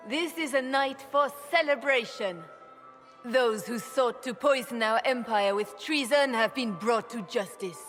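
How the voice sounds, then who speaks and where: An actress playing a regal, commanding empress in a fantasy game, speaking formally and imperiously. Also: female voice, high-pitched, fairly bright tone, exaggerated voice. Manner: stately, imperious.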